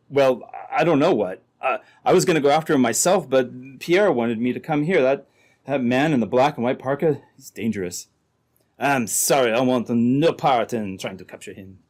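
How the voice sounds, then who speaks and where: A man playing a scheming, double-crossing uncle in an old-time radio adventure, talking in a halting, insinuating way. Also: mid-range voice, a little mellow, close to an everyday voice.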